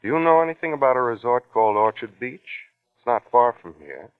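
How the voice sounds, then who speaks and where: An actor playing a quietly menacing police investigator, politely insinuating, in old-time radio suspense style. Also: male voice, mid-range voice, expressive, brisk, smooth, no room sound, lightly acted. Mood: coolly insinuating. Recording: tinny, dry vintage radio recording.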